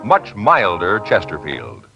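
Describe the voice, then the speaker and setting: A man's voice: deep voice, wildly swooping pitch, takes it slowly, lo-fi sound, over music. A man announcing and reading an advertisement in an emphatic, punchy way. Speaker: radio announcer.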